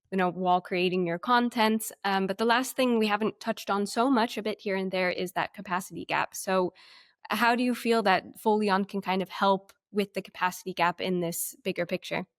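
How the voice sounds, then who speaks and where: Clear-voiced woman moderating a webinar. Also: mid-range voice, no room sound, studio quality. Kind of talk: conversational moderating.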